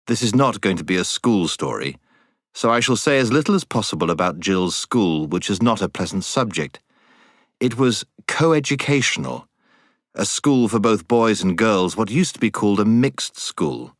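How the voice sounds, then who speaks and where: A British man narrating a storybook as a scripted audiobook, in an expressive storytelling manner. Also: fairly low pitch, moderate pace, no room sound, studio quality.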